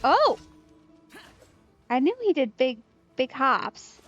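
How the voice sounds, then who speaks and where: A woman gives casual game commentary in a highish, very animated voice. She talks at an unhurried pace, playfully thinking aloud, on a slightly dull microphone. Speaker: game streamer. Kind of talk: casual let's play commentary. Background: faint game music.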